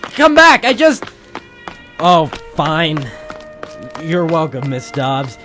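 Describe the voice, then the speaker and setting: A man's voice: a little high, sing-song, takes it slowly, bright, forceful tone, soft background music, heavily acted. Man playing a wry, weary radio private eye. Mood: exasperated, wry. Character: weary, lovestruck wisecracking male private eye. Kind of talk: old-time radio detective drama acting.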